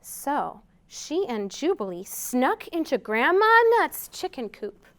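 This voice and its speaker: Woman, high clear voice, unhurried, children's storytime host, expressive read-aloud. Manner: expressive, simple questions and prompts to children.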